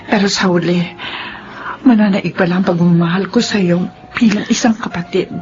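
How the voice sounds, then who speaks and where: Earnest middle-aged Filipino aunt, played by an actress. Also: female voice, on the low side, steady tone, moderate pace, lightly acted. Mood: conciliatory. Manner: earnest, gently admonishing. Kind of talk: Filipino radio drama acting. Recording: muffled radio drama, faint background noise.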